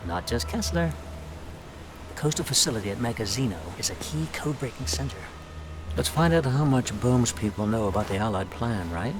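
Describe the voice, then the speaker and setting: A man's voice: medium pitch, lively intonation, a little fast, natural voice. An actor playing a friendly male wartime intelligence ally in a war game, chummy and informal. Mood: urgent. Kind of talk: cinematic game voice acting. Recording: clear ambient noise behind.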